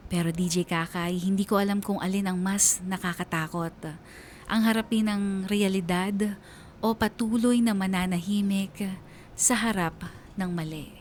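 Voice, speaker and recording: A woman narrating a radio drama in the first person, scripted, earnest and emotive. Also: mid-range voice. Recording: faint noise and ambience behind.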